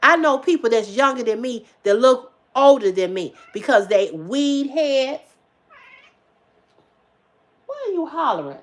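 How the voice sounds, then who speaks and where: A woman in her fifties talks straight to her livestream viewers in a casual, emphatic way. Her voice sits high, and she repeats phrases for punch and swears without holding back. She swings from loud outbursts to quiet asides. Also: animated, a little slow, pauses often. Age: 50s. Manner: emphatic, repetitive, swears freely; swings between loud exclamations and low asides. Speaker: livestream host.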